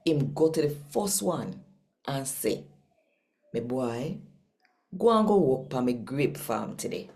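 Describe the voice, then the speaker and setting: A woman's voice: a bass voice, very animated, slow pace, pauses often, a little mellow. A woman with a Jamaican accent hosting a devotional channel and reading scripture to her audience. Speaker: channel host and scripture reader.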